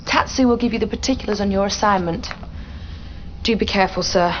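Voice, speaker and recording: An actress playing a polite, businesslike Allied military officer delivering mission briefings in a game cutscene. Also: female voice, mid-range voice, a little fast, dull audio, slight background noise, natural voice.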